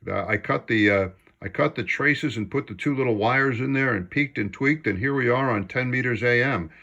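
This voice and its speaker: A man's voice: mid-range voice, normal speed, creaky, bright, forceful tone, muffled recording. A man chatting casually as an amateur radio operator, enthusiastic and appreciative in manner. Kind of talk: casual ham radio conversation.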